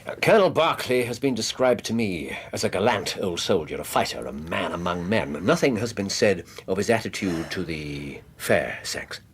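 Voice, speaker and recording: An actor playing a brisk, imperious Victorian detective with an English accent, articulate and formal in a British radio drama. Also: male voice, deep voice, lively intonation, husky, heavily acted. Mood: coaxing. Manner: articulate, probing, formal phrasing.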